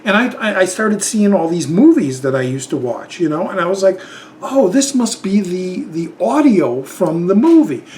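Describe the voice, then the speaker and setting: A man's voice: high voice, sing-song, normal speed, darkish tone, slight background noise. A man, casually rambling through a tag video with lots of fillers and restarts. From Chicago, Illinois. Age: 50s. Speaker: YouTube channel host.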